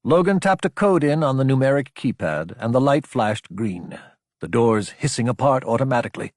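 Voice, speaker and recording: A man's voice: mid-range voice, very animated, moderate pace, a little mellow, no room sound, studio quality. A man reading scripted fiction as an audiobook narrator, in a dramatic storytelling style.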